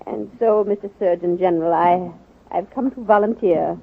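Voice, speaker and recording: A woman's voice: fairly low pitch, moderate pace, soft background music. An actress playing a frail but feisty sixty-year-old American woman reformer, wry and insistent, in 1940s radio drama style. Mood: sly, determined. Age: older. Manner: wry, insistent, crisply argumentative. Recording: muffled old radio recording, phone-like band.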